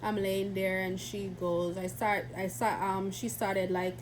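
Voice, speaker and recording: A woman's voice: mid-range voice, flat delivery, unhurried, slight background noise, low-quality recording. A young woman vlogger with a stuffy, congested voice, chatting in a rambling, casual way with many fillers and restarts. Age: young adult. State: stuffy from a cold. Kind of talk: casual storytelling to camera.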